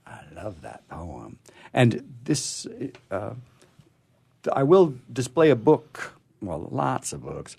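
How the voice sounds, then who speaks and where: A man hosting a radio show in casual chat, rambling and halting as he goes. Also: fairly low pitch.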